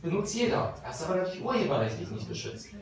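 A man in his thirties gives a lively talk to a pub crowd and explains with examples. His voice sits slightly high. The microphone catches him from a distance in a very echoey room with clear noise behind him. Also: quick pace. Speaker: lawyer speaking at a meetup. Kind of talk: informal talk answering audience questions. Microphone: handheld microphone. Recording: very echoey room from far off, noisy.

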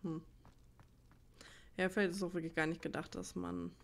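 A woman's voice: fairly low pitch, flat delivery, moderate pace, creaky. A woman playing a video game, thinking aloud in colloquial asides and reading game text. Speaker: let's play host. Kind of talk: casual gaming commentary with read-aloud game text.

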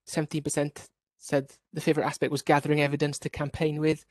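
A man's voice: fairly high pitch, monotone, fast talker, darkish tone, dry recording. A man from Wales giving an online workshop presentation as a researcher, explaining steadily with occasional restarts over a video call.

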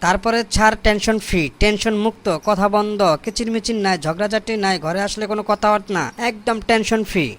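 Man voicing a cocky, high-pitched young Bangladeshi brother-in-law. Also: steady tone, quick pace, hardly any pauses, a bit bright. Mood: cheeky listing. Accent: Bangladeshi colloquial. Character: cocky, hot-tempered young brother-in-law seeking a job. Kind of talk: comic cartoon voice acting in Bangla.

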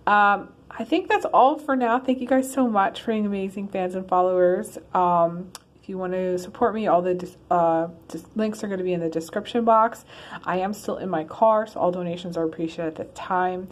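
Woman tarot reader, lower-pitched voice, loose and rambling, filmed on a phone. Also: moderate pace. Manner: rambling, many ums and restarts. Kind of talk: casual one-card tarot reading. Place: in her car.

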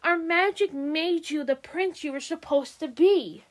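A solemn royal pony princess, voiced by a woman, speaks in a hushed, half-whispered tone. She sounds lower than her usual voice and draws her words out slowly and gravely. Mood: urging.

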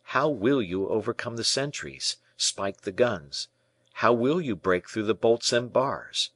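A man's voice: fairly low pitch, expressive, measured pace, a bit bright, dry recording. A man reading a storybook part as a young imprisoned prince, proud, embittered and hot-blooded, in formal archaic speech. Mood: sceptical, challenging. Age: young adult. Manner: formal archaic speech, rhetorical questions, impassioned.

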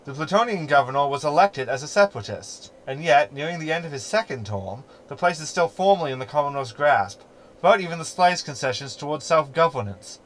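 Snarky hologram sidekick , male actor, sci-fi audio comedy. Verbose, glib, sardonic; higher-set voice with lots of swing. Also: expressive, a little fast, fairly bright tone, rough recording. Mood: lecturing. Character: snarky, meddling male hologram ship companion. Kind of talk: sci-fi sitcom audio drama acting.

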